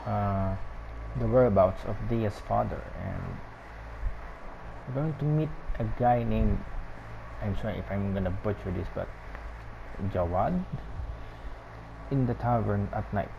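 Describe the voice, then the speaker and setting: Slow, creaky-voiced Filipino man. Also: fairly low pitch, background noise. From Philippines. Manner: hesitant, musing, echoes game dialogue. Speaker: gaming commentator. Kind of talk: casual playthrough commentary. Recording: dull sound, loud game music behind.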